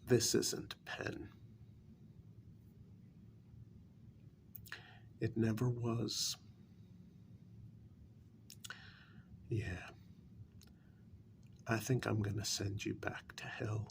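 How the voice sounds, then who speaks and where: Man acting a gravelly, soft-spoken brooding kidnapper. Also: lowish voice, flat delivery, a little hiss or hum, heavily acted. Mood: bitter, threatening. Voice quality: gravelly, breathy, drifting into whisper. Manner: slow, deliberate, quietly menacing, with long pauses. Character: brooding, bitter male kidnapper confronting a captive demon. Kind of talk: soft-spoken ASMR role-play.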